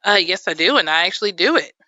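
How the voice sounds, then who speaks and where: Texan woman guest, radio interview, bright clear voice, chatty with fillers, dry and slightly dull studio sound. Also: lowish voice, animated, fast talker, no echo. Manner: chatty, many fillers, laughs easily. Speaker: videographer guest.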